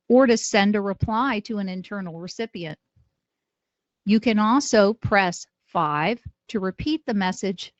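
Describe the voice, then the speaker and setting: Woman, instructional narration, step-by-step explainer, mid-pitched, slightly muffled sound. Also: dry recording. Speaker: software trainer.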